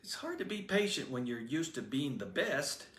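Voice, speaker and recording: A man's voice: on the high side, sing-song, moderate pace, a bit bright, recorded from a distance, slight background noise. A man doing a shy stammering teen boy. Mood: encouraging. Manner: stammering, hesitant. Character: shy, bashful teenage boy. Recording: roomy echoey room.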